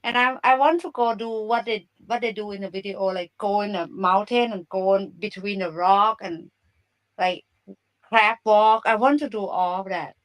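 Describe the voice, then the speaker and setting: A woman's voice: medium pitch, moderate pace, poor audio. A woman from Thailand with a Thai accent, hosting a casual live audio chat in chatty, broken English. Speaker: live stream host. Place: Bay Area.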